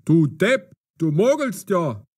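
An actor playing boisterous Bavarian village men with a husky voice and Bavarian accent, in an audio story. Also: male voice, sits high, very animated, slow pace, darkish tone, heavily acted. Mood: accusing. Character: boisterous Bavarian men: angler, card player, innkeeper. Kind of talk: German learner audio drama acting.

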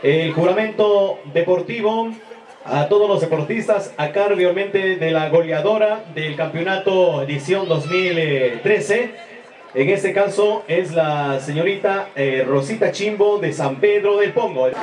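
A man's voice: high voice, measured pace. A man making announcements as master of ceremonies at an outdoor event. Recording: echoey distant PA with crowd.

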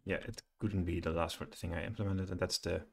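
A middle-aged man with a low-pitched voice presents a programming screencast. He thinks aloud in a halting way, often muttering to himself as he works at his computer. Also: fairly even intonation, vocal fry, slight background noise. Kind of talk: thinking aloud while coding. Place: small room indoors at home, at a computer. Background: keyboard typing and clicking.

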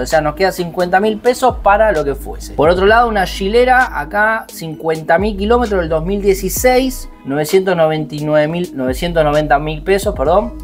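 A young man with an Argentine accent, talking casually and bluntly as he browses listings from a home desk. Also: fairly high pitch. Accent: Argentine Spanish. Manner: chatty, blunt, uses slang. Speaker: motorcycle vlogger. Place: small home office at a desk.

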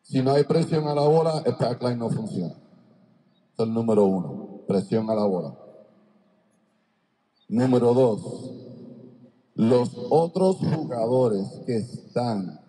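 A man's voice: mid-range voice, lively intonation, slow pace, long pauses, mellow. Muffled man echoing through a gym. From Cleveland, Ohio, United States. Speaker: basketball coach giving a seminar talk. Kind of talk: coaching seminar lecture to an audience. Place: large indoor sports arena, on the court. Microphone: handheld microphone through a PA, far camera. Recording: long echo of a large gymnasium.

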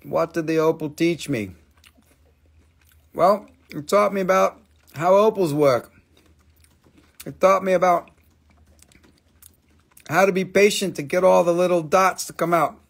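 A middle-aged man hosting a live sales stream, talking casually and excitedly, exclaiming and swearing as he shows stones at a table indoors. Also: high-pitched, unhurried, vocal fry, long pauses, husky. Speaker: live-stream gem seller.

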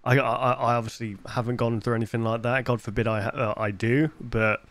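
A man's voice: medium pitch, little pitch movement, fairly quick, fairly bright tone. A man in his twenties giving casual reaction commentary into a close desk microphone. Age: 20s. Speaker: reaction video host. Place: bedroom gaming setup, indoors.

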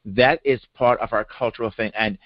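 A man's voice: mid-range voice, steady tone, moderate pace, no echo. A man with a Jamaican accent talks casually as a podcast co-host, voice sitting in a middle register. The sound is dry and close, with a slightly dull, muffled top end. He restarts phrases, doubling words as he finds his thought. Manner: conversational, repeats words as he starts phrases. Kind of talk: casual chat.

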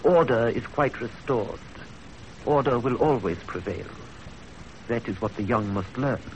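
An actor playing a stern older employer in a 1930s radio drama, speaking formally with measured authority. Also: male voice, mid-range voice, close to an everyday voice. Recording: faintly noisy 1930s radio recording.

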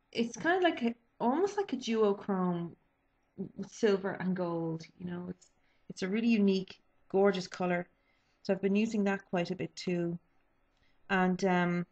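A woman, chatting casually about makeup she has been using, a little sniffly from a cold, with many ums and restarts. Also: on the low side. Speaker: beauty vlogger. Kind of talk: casual product review. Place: small bedroom indoors. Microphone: webcam built-in mic. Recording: dull lo-fi webcam audio.

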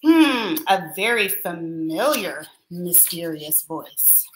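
A woman's voice: lowish voice, big pitch swings, slow, roomy sound, pitched below the performer's own voice, low-quality recording. A woman reading aloud as an earnest young male cat playing secret agent, with a dramatic, self-important manner. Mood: suspicious.

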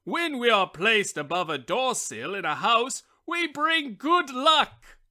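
A woman's voice: fairly high pitch, big pitch swings, takes it slowly, heavily acted. A woman doing a pompous boastful wishbone. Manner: haughty, declaiming loudly.

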